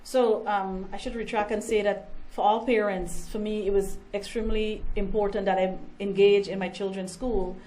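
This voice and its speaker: A woman with a mellow, warm voice answers interview questions as a political candidate. She speaks earnestly and in measured phrases, with an occasional um. A faint hiss of noise sits under her voice. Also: medium pitch, level tone, rough recording. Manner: earnest, measured, a few ums.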